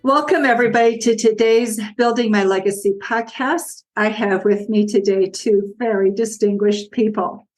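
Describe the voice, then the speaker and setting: Female podcast host with a middle-range voice and a measured delivery. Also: medium pitch, steady tone, faint music behind, low-quality recording. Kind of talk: podcast interview.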